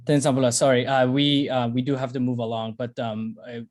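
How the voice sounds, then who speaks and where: A man's voice: mid-range voice, little pitch movement, brisk, a little mellow. A man moderating a panel, introducing speakers hesitantly with many fillers.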